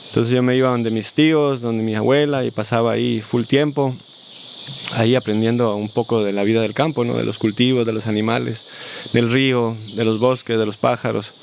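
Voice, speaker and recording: A man, a guest in a casual interview, telling stories in a reflective, unhurried way. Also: mid-range voice, steady tone, vocal fry, slight background noise. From Costa Rica. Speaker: guest, family farmer.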